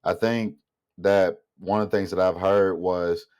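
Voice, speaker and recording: A man's voice: sits low, steady tone, measured pace, darkish tone, clean tone. A man giving a casual, rambling opinion monologue as a vlogger, sounding nasal and congested from a mild illness. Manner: rambling, thinking aloud.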